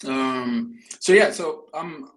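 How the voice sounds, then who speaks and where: A man from Morocco answering interview questions as a startup guest, speaking conversationally with halting, repeated words. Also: mid-range voice, fairly bright tone. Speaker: interview guest, startup co-founder and engineering head.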